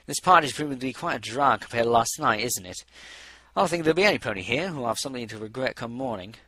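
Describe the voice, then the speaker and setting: An aloof, learned male pony doctor from a storybook, acted by a man. He speaks formally and wordily, a little pompous, in a bright voice with lots of pitch movement. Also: animated, quick pace. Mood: bored.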